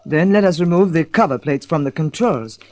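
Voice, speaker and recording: An actor playing an earnest, measured spaceship pilot in an old-fashioned radio drama, speaking formally and precisely. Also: male voice, on the high side, lively intonation, darkish tone, no echo, close to an everyday voice. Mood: measured, serious. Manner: formal, precise, uncontracted speech.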